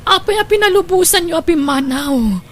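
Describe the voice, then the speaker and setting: Woman acting high-voiced wounded young wife, Ilocano. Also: lively intonation, normal speed. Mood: shocked, hurt. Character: distressed married daughter, sorrowful and wounded. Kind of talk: Ilocano radio drama acting. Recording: music bed behind the voice.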